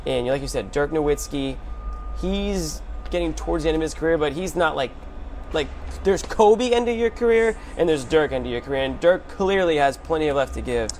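A man's voice: on the high side, fairly quick, a little mellow. A man hosting a sports show, giving informal, slangy basketball commentary in a conversational way. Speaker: sports podcast host. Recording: clear background noise and hum.

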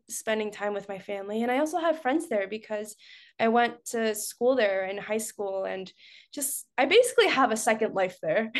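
Higher-pitched young woman from Costa Rica, chatty. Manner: chatty, laughs often while talking. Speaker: podcast guest. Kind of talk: casual personal chat.

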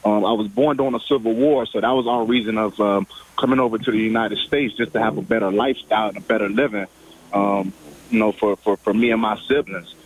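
A man's voice: lowish voice, steady tone, quick pace, a little hiss or hum, poor audio. A man in his twenties, born in Liberia, telling his story casually on a phone interview, with many restarts. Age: 20s. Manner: casual, rambling, with repeated restarts. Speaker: football player guest. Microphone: phone call.

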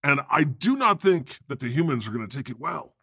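A man's voice: a little high, big pitch swings, brisk, creaky, bright, forceful tone, breathy edge, no echo, studio quality, exaggerated voice. A man playing God as a brash, foul-mouthed know-it-all in comedic podcast banter, insistent and emphatic. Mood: insistent, smug.

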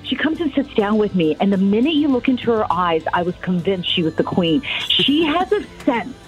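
A woman's voice: mid-range voice, very animated, moderate pace, highs cut off, faint music behind. A woman, an entertainment reporter, recounting her experiences in a casual, spontaneous and enthusiastic, talkative way.

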